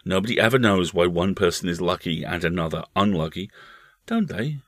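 A man voicing a bitter, evasive mother who gives measured, resigned answers in a storybook reading. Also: low-pitched, lively intonation, fairly bright tone, dry recording, studio quality, heavily acted.

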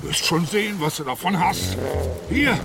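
A man's voice: high voice, huge pitch swings, moderate pace, gravelly, a loud crowd, heavily acted. An actor playing a surly, spiteful antlion in a children's radio play, threatening intruders on his beach. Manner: menacing, spiteful. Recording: music behind.

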